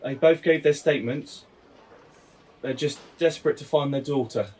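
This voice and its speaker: A man's voice: a little high, moderate pace, darkish tone, lightly acted, poor audio. A man playing a mild, considerate police detective in a naturalistic audio drama. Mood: polite. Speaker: actor in an audio play.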